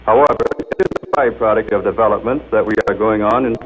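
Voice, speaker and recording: Deep voiced guy, formal measured talk, vintage 30s radio engineer demo. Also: a little hiss or hum, poor audio. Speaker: engineer demonstrating a speech machine. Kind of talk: scripted 1930s radio demonstration. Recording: old 1930s radio broadcast recording.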